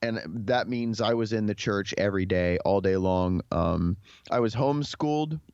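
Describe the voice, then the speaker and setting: A man's voice: fairly low pitch, normal speed, darkish tone, clean tone, no echo. Male, thirties, from Texas. Conversational podcast guest, informal delivery, dry and lo-fi recording. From Dallas, Texas, United States. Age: 30s. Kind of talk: conversational interview, telling personal history.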